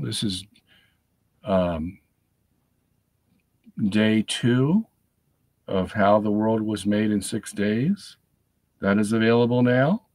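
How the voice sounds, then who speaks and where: A middle-aged man hosting a live stream from his home study, chatting casually and checking in with "okay?", hoarse from a lingering cold. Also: fairly low pitch, dry recording. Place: home study lined with bookshelves.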